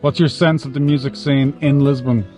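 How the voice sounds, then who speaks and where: A man's voice: mid-range voice, steady tone, brisk, a little mellow, faint music behind. A man interviewing a guest, asking short, direct questions.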